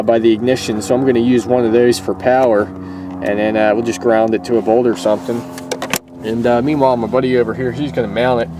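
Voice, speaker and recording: A man with a mid-pitched voice talks through a job in a casual, rambling how-to style. He sounds easygoing and explains things loosely. There is faint outdoor and vehicle noise behind him. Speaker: host of a car radio install video. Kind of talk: casual hands-on how-to vlog. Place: in and around a parked car. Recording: steady background noise, outdoor and vehicle ambience.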